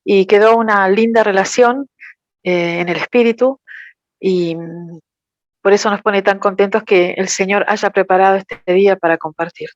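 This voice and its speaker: A woman interpreting a talk, careful and measured. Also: medium pitch, steady tone, dry recording, dull audio. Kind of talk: consecutive interpretation.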